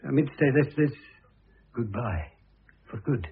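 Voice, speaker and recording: An actor with a British accent playing a gruff, wounded middle-aged ex-husband in a vintage radio drama. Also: male voice, medium pitch, moderate pace. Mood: rueful, huffy. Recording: muffled, phone-like old radio recording.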